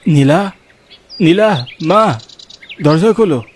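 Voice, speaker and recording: A man's voice: a little high, animated, takes it slowly, slight background noise. A man voicing a concerned middle-aged father in a horror cartoon. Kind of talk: cartoon horror drama dialogue.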